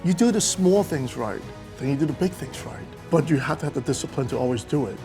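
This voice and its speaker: A man's voice: a little high, expressive, fast, music in the background. A man, a fund manager talking candidly about his career in a plainspoken, emphatic way. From New York City. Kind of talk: conversational interview monologue.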